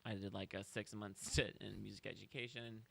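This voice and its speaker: A man's voice: fairly low pitch, flat delivery, a little fast, gravelly, sharp tone. A man from Kansas City, a podcast guest, telling his story in a chatty, wry way with self-deprecating jokes. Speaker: guest, real estate agent. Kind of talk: casual podcast chat.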